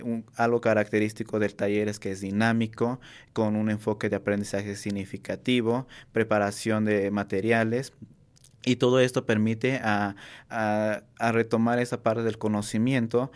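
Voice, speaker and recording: A young man whose first language is Nahuatl, answering interview questions earnestly, with many pauses, fillers and restarts. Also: fairly low pitch, clean tone. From Mexico. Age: young adult. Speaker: Nahuatl workshop teacher and university student.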